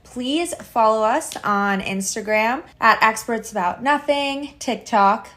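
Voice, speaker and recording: A woman's voice: on the high side, takes it slowly, fairly bright tone. A woman co-hosting a podcast, upbeat and bubbly, laughing along with her partner. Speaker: podcast co-host.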